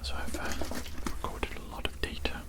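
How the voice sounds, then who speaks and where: A woman performing a whispered ASMR roleplay as an evil experimenting doctor, with deliberate, repetitive phrasing.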